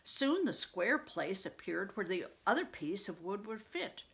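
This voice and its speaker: An older woman reading a story aloud like a teacher, pausing to explain and put questions to the listener. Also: mid-range voice, big pitch swings, vocal fry, fairly bright tone, husky.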